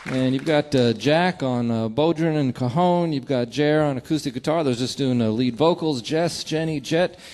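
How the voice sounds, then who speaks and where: A man's voice: mid-range voice, normal speed, hardly any pauses, a little mellow, some chatter behind. A man hosting a live music radio show, introducing the performers from the stage. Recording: noisy live hall with audience.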